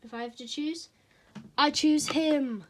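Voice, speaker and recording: A teenager with a childlike voice, reviewing toy figures in a casual, hesitant way with frequent pauses and fillers. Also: a bit bright, slight background noise. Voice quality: childlike, high voice.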